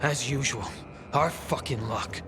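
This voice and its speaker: A man's voice: mid-range voice, measured pace, lightly acted. A man acting a hot-tempered, resentful prisoner in a video game cutscene, accusing and foul-mouthed. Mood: glum. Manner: agitated, accusing, profane outbursts. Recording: music behind the voice.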